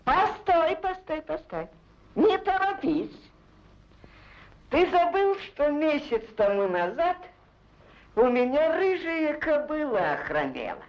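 A man's voice: extremely high pitch, very animated, full character voice. An actor playing a sly, greedy, fat rich herd owner in an old cartoon, wheedling and drawing out his haggling. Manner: wheedling, drawn-out, crafty haggling. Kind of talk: old Soviet cartoon acting. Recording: dull, muffled old film soundtrack.

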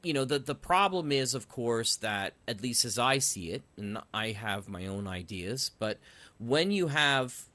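A man's voice: mid-range voice, animated, a little slow, bright, forceful tone. A man interviewing guests conversationally as a host, thinking aloud in long, rambling questions.

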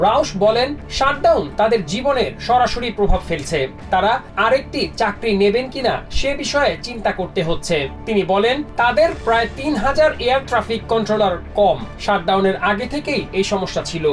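A woman's voice: fairly high pitch, lively intonation, fairly quick, distant mic, faint music behind. A woman reporter delivering scripted news narration.

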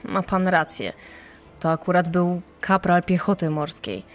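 An actress playing a patient, hopeful search official who speaks in a consoling, measured way in a dramatized re-enactment. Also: female voice, on the low side, soft background music, natural voice. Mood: patient, conceding.

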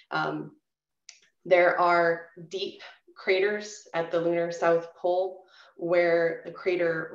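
Woman, 30s, lower voice, explaining patiently on a webcam in an echoey room with dull sound. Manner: measured, explanatory, with frequent "um" pauses. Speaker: guest speaker answering questions. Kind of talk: public lecture Q&A over video call. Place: home office, indoors. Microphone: webcam at desk on video call. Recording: roomy, dull video-call audio.

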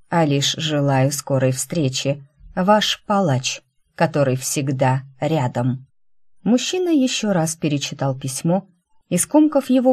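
Woman narrating fiction, softly dark, evenly pitched. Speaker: audiobook narrator. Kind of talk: scripted narration of a fantasy novel.

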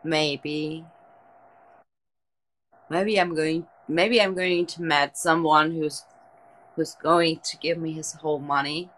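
A woman's voice: low-pitched, takes it slowly, long pauses, low-quality recording. A young woman from Germany with a German accent, chatting casually with viewers on a late-night livestream from her bed, talking into a phone held close. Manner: lying down, relaxed, chatty with viewers. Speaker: livestream host. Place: bedroom at night.

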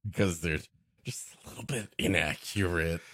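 A man's voice: sits low, moderate pace, fairly bright tone, no echo, clean studio sound. A man co-hosting a podcast, making improvised, wry and mock-serious comic remarks on a story. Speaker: podcast co-host.

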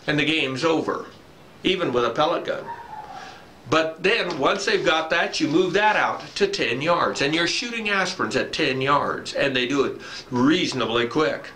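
An older man speaks as an instructor in a bright, forceful voice that sits slightly high. He explains informally and repeats his key points. The room is echoey, recorded a few steps from the camera. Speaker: firearms instructor. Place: dim wooden barn or shed, indoors. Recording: echoey room, faint background noise.

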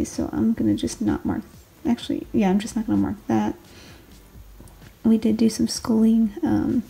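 A woman in her twenties with a raspy late-night voice, rambling casually through her daily planner and correcting herself as she goes. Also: fairly high pitch, rough recording. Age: 20s. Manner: rambling, self-correcting, soft. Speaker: planner vlogger, stay-at-home mom. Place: home desk, filmed late at night. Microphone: overhead camera on the desk.

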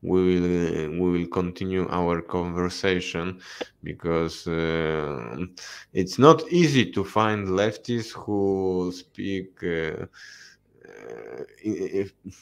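Male host, very deep and smooth, slow hesitant English over a video call. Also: lively intonation. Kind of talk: casual political conversation.

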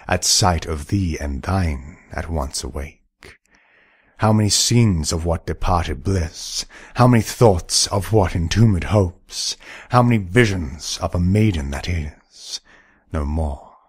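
A man's voice: low-pitched, husky, no room sound, exaggerated voice. A man playing a melancholy romantic poet, reciting verse theatrically in mourning.

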